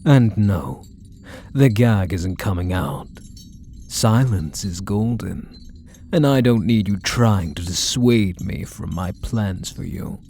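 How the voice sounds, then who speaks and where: Male actor as possessive kidnapper husband, ASMR roleplay, unhurried deliberate delivery, mellow tone, condescending menace, controlling. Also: sits low, lively intonation, creaky, exaggerated voice. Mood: stern, reproachful. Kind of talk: scripted ASMR roleplay, addressing the listener.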